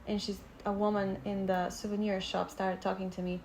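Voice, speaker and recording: A young Bulgarian woman hosting a podcast in English, animated, laughing often and searching for words. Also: mid-range voice, normal speed, slight background noise. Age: young adult. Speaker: podcast host. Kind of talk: casual interview chat.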